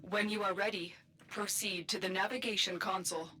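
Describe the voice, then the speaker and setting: A woman playing a calm, solemn mentor in a video game, speaking in a measured, formal, reassuring way. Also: medium pitch, natural voice. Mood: solemn guidance. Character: calm, solemn female mentor guiding a warrior. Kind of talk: scripted video game dialogue. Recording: loud game music over voice.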